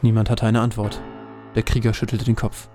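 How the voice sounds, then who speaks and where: Brisk male storyteller over music. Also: fairly low pitch, little pitch movement, dark tone. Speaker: narrator. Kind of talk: scripted audio drama narration.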